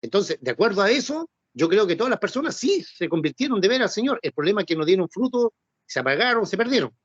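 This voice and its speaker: A man's voice: sits high, sing-song, fast talker, breathy edge, no room sound. A man teaching a Bible class, talking conversationally and often asking rhetorical questions. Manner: conversational, repeats himself and asks rhetorical questions. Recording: dull, dry lo-fi recording.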